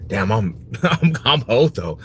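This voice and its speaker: A man's voice: on the low side, sing-song, takes it slowly. A man in his forties chatting casually on a livestream, slangy and prone to repeating his phrases as he replies to viewers. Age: 40s. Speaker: livestream host. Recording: noticeable background noise.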